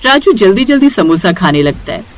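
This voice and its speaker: A woman's voice: mid-range voice, very animated, fairly quick, slight background noise. A woman reading scripted narration for a story.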